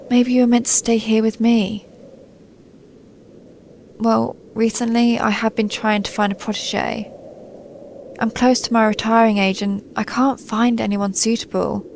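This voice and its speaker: Casting: actress for an ancient snow maiden in audio roleplay; stoic, melancholy, soothing, even intonation, creaky voice. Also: female voice, on the high side, slight background noise. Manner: soothing, formal, measured, solemn. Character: centuries-old female snow maiden, gentle, stoic, melancholy guardian spirit. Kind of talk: scripted audio roleplay, intimate monologue to listener.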